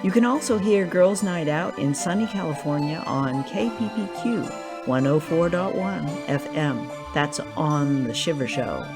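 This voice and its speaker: A woman's voice: deep voice, lively intonation, a little slow, hardly any pauses. A woman reading scripted narration and credits as the narrator of an audio drama podcast. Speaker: narrator, writer and director. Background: music.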